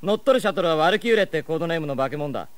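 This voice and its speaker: A man's voice: a little high, expressive, very fast, no echo, lightly acted. An actor playing a take-charge, technically minded young man, decisive and explanatory, in a dramatised audiobook. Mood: serious.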